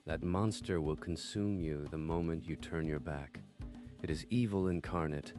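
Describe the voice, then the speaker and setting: Male actor for cruel manga villain: extremely low register, commanding, scornful, clear music bed underneath. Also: moderate pace. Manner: commanding, contemptuous. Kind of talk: dramatic villain dialogue in a manga dub. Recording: music behind the voice.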